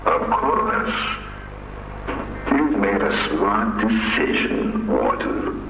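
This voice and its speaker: An actor playing a manipulative, smug mad doctor in a video game, coolly mocking and persuasive. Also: male voice, on the low side, very animated, extremely slow, gravelly, reverberant, lo-fi sound, over music, full character voice. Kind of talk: dramatic video game villain acting.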